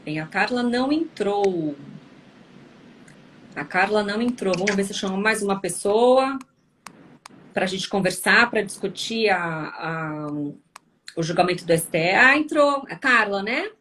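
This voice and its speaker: A woman's voice: medium pitch, lively intonation, a little slow, fairly bright tone, a little hiss or hum. A tired woman from Brazil hosting a casual live stream, answering viewers with lots of tag questions. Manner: chatty, frequent tag questions.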